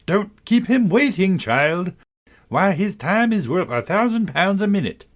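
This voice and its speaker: A man playing an angry, impatient railway guard, loud and brusque, in a storybook reading. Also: high voice, very animated, a little slow, pitched above the performer's own voice.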